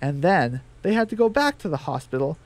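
A man's voice: on the high side, sing-song, fairly quick, heavy background noise. A man narrating a scripted radio play as its storyteller.

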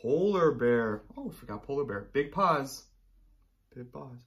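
A man in his thirties, a teacher reading a picture book aloud to young children in an encouraging, animated way. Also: mid-range voice, measured pace, lo-fi sound. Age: 30s. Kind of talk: children's storybook read-aloud.

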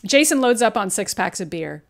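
A woman's voice: medium pitch, animated, moderate pace. A woman at a casual live table read on a video call, reading stage directions and commenting wryly. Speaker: podcast host. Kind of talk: script narration with casual banter. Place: home room on a group video call.

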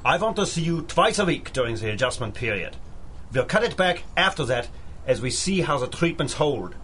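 An actor playing a proud, earnest, encouraging doctor, speaking formally in a 1930s-style radio drama. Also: male voice, a little high, sing-song, moderate pace, a bit bright, slight background noise. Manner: grandiloquent, formal.

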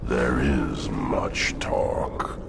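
A gigantic, all-knowing creature with a cavernous, extremely deep rumble. It speaks very slowly and solemnly, as in verse, menacing in a game cutscene over loud music. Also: male voice, vocal fry, exaggerated voice. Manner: slow, portentous, poetic speech. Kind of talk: video game cutscene acting.

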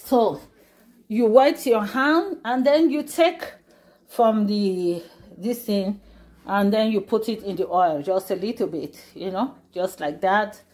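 A woman's voice: mid-range voice, slow, a little mellow. A middle-aged woman casually walking through a recipe in her home kitchen, explaining each step with many fillers. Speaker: cooking vlogger. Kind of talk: casual cooking demonstration.

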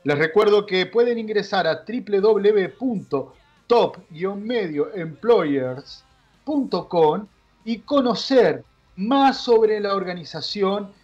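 Radio host guy, high voice, long rambling questions, kinda dull sound. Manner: long winding questions with "eh" fillers. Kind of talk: radio interview, introducing guest.

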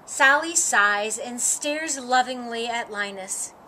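A middle-aged woman reading a children's picture book aloud with animated expression, acting out the dialogue. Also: fairly high pitch, slow, slight background noise, low-quality recording. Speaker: storytime reader. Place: outdoor patio with lattice and ivy.

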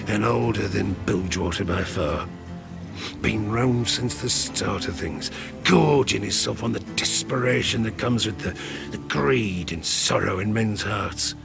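Old ruined gambler and harpooner, British accent, low gravelly voice, rueful, rustic tavern storyteller, audio drama. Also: male voice. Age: older. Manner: folksy, rustic, rambling tavern yarn-spinning. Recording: studio drama with music behind. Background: music.

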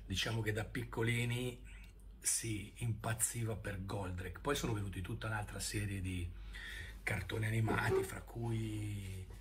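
A man's voice: fairly low pitch, fairly even intonation, measured pace. A middle-aged man, rambling casually and searching for words, recorded on a phone at arm's length. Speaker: online video creator. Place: indoor room at home. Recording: noisy handheld phone recording.

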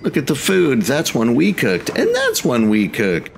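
A man's voice: medium pitch, wildly swooping pitch, unhurried, creaky. A man doing casual let's play commentary as a gaming host, with playful, sing-song reactions. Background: game music.

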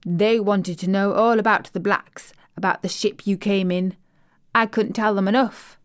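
A woman's voice: mid-range voice, moderate pace, close to an everyday voice. A woman voicing a cheerful, chatty Yorkshire servant girl in broad dialect, for an audiobook. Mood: eager. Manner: broad dialect, lively and excited.

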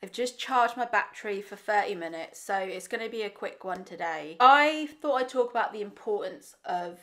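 Slightly croaky young woman vlogging. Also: medium pitch, animated, moderate pace, sharp tone. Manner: earnest, candid, thinks aloud with small restarts. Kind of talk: casual reflective monologue to camera. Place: bright bedroom indoors. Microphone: desk microphone in front of her.

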